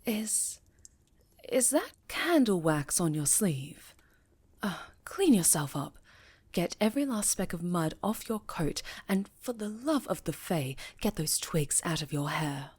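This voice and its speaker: Woman playing a low-voiced, imperious high enchantress. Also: big pitch swings, measured pace, darkish tone, slightly rough, dry recording, heavily acted. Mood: scolding, exacting. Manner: formal, imperious, scolding. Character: stern, exacting high enchantress witch, mentor to pupil. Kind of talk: fantasy ASMR roleplay acting.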